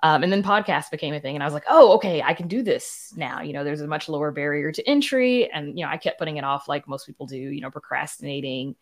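A woman's voice: low voice, quick pace, few pauses. A woman, a podcast creator, telling her story casually as an interview guest.

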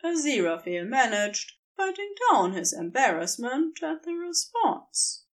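Expressive, high-voiced woman narrator. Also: measured pace. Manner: expressive, dramatic storytelling. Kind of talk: scripted fiction narration.

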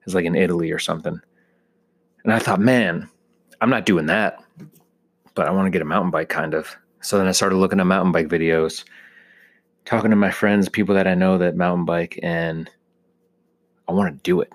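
American male, thirties, low-pitched voice, loose conversational solo monologue with joking exaggeration. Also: fairly even intonation, normal speed, long pauses. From United States. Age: 30s. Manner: joking, self-deprecating exaggeration. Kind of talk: casual rambling solo monologue.

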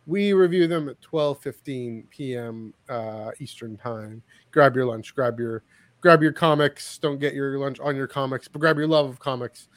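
Halting male podcast host, dry close sound. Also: mid-range voice, dry recording. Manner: halting, repeats words and phrases. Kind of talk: casual podcast chat with plugs.

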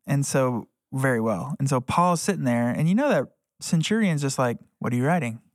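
A man's voice: medium pitch, expressive, normal speed, dry recording, studio quality. An American man co-hosting a casual, conversational discussion about the Bible. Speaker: podcast co-host.

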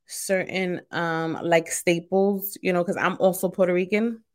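A woman's voice: on the low side, steady tone, a little slow. A woman from Brooklyn, New York, hosting a podcast and chatting casually, peppering her talk with "like" and "you know". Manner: conversational, uses "like" and "you know" often. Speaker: podcast host. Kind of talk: casual podcast interview chat.

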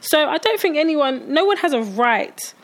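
A woman in her late twenties with a slightly high voice chats casually as a podcast co-host. She tells stories in an animated way and acts out other people's words. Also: normal speed, rough recording. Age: 20s. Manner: animated storyteller, acts out quotes. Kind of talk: casual chat.

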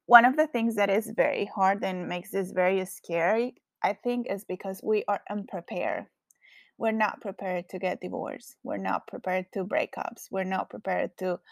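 A woman's voice: medium pitch, no echo. A woman from Colombia with a strong Colombian Spanish accent, hosting a solo podcast in a reflective, candid way. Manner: reflective, candid, with frequent fillers. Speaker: podcast host.

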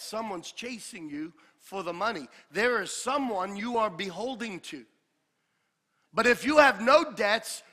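A male pastor preaching a sermon, building to shouted peaks and dropping into joking asides. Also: very high-pitched. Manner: builds to shouted climaxes, then drops to a jokey aside. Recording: long hall reverb.

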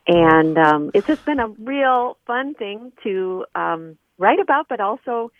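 A woman speaking as an interview guest over a phone, conversational and reflective, pausing as she gathers her thoughts. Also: medium pitch, lively intonation, no echo. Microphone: telephone call. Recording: narrow, tinny phone line.

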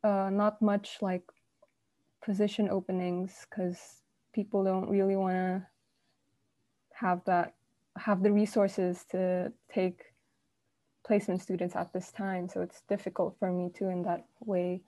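A young woman talks loosely with friends, full of fillers and restarts. Her voice is a little croaky, as if she has just woken up. The sound is close and dry, with no room around it. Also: mid-range voice, little pitch movement, measured pace, warm tone, no room sound. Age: young adult. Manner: rambling, lots of fillers. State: croaky morning voice. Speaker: university student. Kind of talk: casual group chat.

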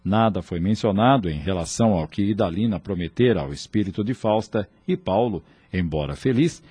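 Dark-voiced solemn male radio drama narrator. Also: sits low, lively intonation, brisk, husky, dry recording. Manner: solemn, formal.

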